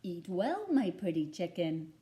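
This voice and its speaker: A woman voicing a greedy, scheming male wolf in a storybook, coaxing with gleeful, drawn-out words. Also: fairly low pitch, very animated, dark tone. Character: hungry scheming male wolf, greedy but sweet-talking.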